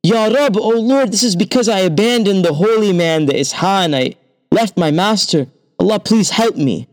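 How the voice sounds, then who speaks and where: Brief: scared storybook youth, high and desperate, put on by a male narrator. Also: lively intonation, moderate pace. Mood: panicked. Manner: panicked and praying. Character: frightened young male disciple. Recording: long hall reverb.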